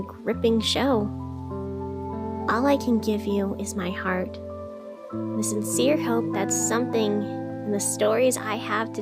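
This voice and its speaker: A woman playing an earnest, heartfelt podcast host introducing a story in an intimate, deliberate monologue. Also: fairly high pitch. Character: earnest female radio show host, heartfelt and hesitant. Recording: music behind the voice.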